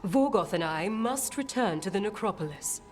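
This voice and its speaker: An actress playing a composed, solemn female necromancer in a video game, speaking in a formal, measured way. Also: medium pitch, expressive, darkish tone, natural voice. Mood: composed, serious. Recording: music behind the voice.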